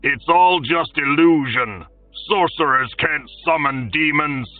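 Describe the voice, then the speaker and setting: Blustering male lord, loud and raised in pitch, as a narrator puts him on; thin, distant sound. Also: slow pace, dry recording, phone-quality sound, soft background music. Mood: angry. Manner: shouting, exasperated.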